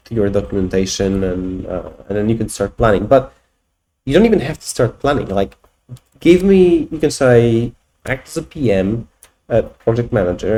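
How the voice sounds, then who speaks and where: A man in his thirties whose first language is Polish talks through a tech demo in a casual, halting way, trailing off and restarting as he thinks aloud. His voice is mellow and dark in tone, at an ordinary middle pitch for a man. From Poland. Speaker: tech video host. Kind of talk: casual screen-share walkthrough.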